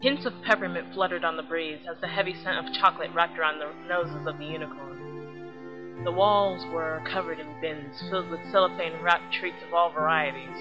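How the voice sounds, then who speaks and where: A woman giving scripted but casual fan commentary in a blunt, slangy, opinionated way, with animated delivery. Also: low-pitched. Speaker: fan video series host. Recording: slightly dull lo-fi recording.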